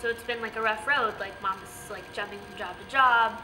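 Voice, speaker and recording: A woman's voice: medium pitch, steady tone, moderate pace, over music. A young woman telling her own story candidly, with many "like" fillers, in an indoor interview. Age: young adult. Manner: candid, filler-heavy with frequent "like". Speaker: interviewee, college freshman. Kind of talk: casual personal story.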